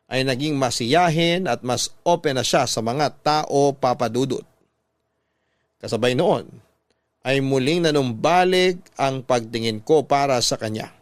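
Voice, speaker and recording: A man's voice: mid-range voice, dry recording. A Filipino man reading a heartfelt letter as scripted narration in a radio storytelling style.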